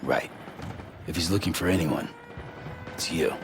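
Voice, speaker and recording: An actor playing a gruff, weary loner hero in a cinematic video game, speaking in a terse, guarded, blunt way with a gravelly voice. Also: male voice, sits low, unhurried, over music. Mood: matter-of-fact.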